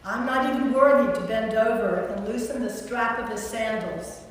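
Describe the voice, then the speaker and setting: A woman's voice: fairly high pitch, moderate pace, slight background noise, natural voice. A woman playing a humble male wilderness prophet in an amateur staged scripture reading. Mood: earnest proclaiming. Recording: very echoey church, recorded from far off.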